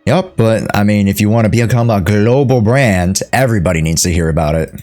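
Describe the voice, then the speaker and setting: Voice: deep voice, brisk, a little mellow, faint music behind. A game streamer giving casual, slangy playthrough commentary and reacting to the scenes as they play.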